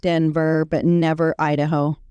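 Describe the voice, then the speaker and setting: An American woman co-hosts a podcast, earnest and upbeat, pausing often on ums. Her voice is very low and smooth. The sound is close and dry. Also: little pitch movement, warm tone, dry recording, dull audio. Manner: earnest, upbeat, filler-heavy. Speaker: podcast co-host. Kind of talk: casual podcast chat.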